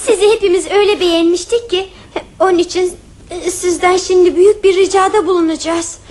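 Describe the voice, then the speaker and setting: An actress playing a sweet, sensible young daughter in a radio play, earnest and polite. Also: female voice, very high-pitched, steady tone, fairly quick, darkish tone. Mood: pleading.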